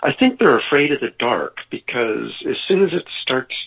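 A man answering interview questions over the phone, earnest and frustrated, halting with many ums. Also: medium pitch, expressive, gravelly, slightly rough. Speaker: interview guest. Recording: tinny phone line.

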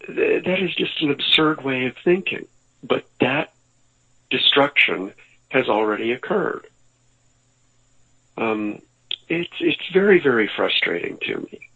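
A man answering interview questions over the phone, earnest and frustrated, halting with many ums. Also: mid-range voice, sing-song, breathy edge. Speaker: interview guest. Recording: tinny phone line.

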